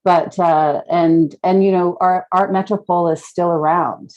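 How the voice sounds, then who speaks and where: A woman's voice: on the low side, fairly even intonation, unhurried, darkish tone. A middle-aged woman telling stories casually as a guest in an online talk, reflective and hesitant as she feels her way along. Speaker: guest speaker, gallery curator.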